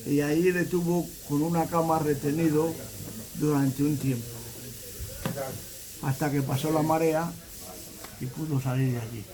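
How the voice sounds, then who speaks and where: A man's voice: a little high, darkish tone, poor audio. A man from north-central Spain with a Castilian accent, telling a family account in a conversational storytelling style. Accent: Castilian Spanish. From Valladolid province, Spain. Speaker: interviewee giving family testimony.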